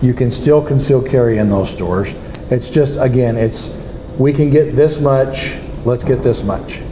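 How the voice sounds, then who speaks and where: A man's voice: mid-range voice, unhurried, warm tone. A man co-hosting a gun range talk show, explaining points patiently and urging listeners to get involved. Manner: agreeable, explains and urges listeners. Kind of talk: casual chat. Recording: echoey room with faint noise.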